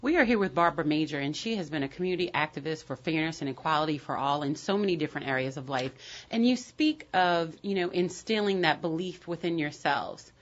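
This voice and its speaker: A woman's voice: low-pitched, lively intonation, a little fast. A woman hosting an interview, asking long, winding questions full of "you know". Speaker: interview host. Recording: dull lo-fi sound.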